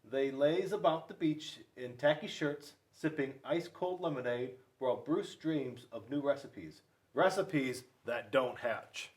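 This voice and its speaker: A man reading a children's storybook aloud, with dramatic pauses and emphasis. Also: medium pitch, distant mic. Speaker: school resource police officer reading to children.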